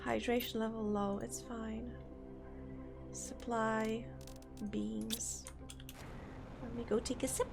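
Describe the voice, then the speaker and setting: A woman's voice: fairly high pitch, flat delivery, slow, creaky, pauses often. A woman live-streaming a game, rambling casually and chatting with viewers, her throat a little sore. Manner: rambling, thinks aloud, chats with viewers. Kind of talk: casual live-stream chat while playing. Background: faint game music.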